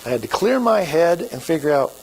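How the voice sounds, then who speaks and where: A man's voice: on the high side, very animated, brisk, darkish tone, slightly rough. A man with an Oklahoma accent preaching a sermon as pastor, in a folksy, conversational way.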